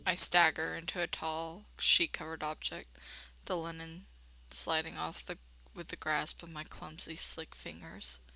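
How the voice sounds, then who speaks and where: A woman's voice: low voice, level tone, unhurried, creaky, sharp tone, rough recording. A woman reading a game dramatically and adding casual, slangy, irreverent commentary as a let's play host.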